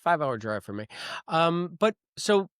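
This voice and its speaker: A middle-aged man co-hosting a fan podcast in casual chat, animated, restarting sentences and trailing off with fillers. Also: a little high, moderate pace, clean tone, no room sound, clean studio sound. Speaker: podcast co-host.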